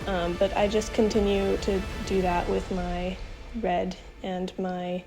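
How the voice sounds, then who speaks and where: A woman's voice: medium pitch, takes it slowly, music in the background. Stuffy-voiced young woman, sick, chatty. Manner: relaxed, rambling with many ums. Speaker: art channel host. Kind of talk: casual coloring voiceover. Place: home room, indoors. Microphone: camera mic, then voiceover.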